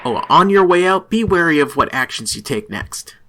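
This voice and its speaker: A taunting small-town police chief, played by a woman with a low, mock-friendly voice that rises and falls widely. Clicks and noticeable noise sit over the recording. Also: animated, moderate pace, close to an everyday voice. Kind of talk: radio drama acting.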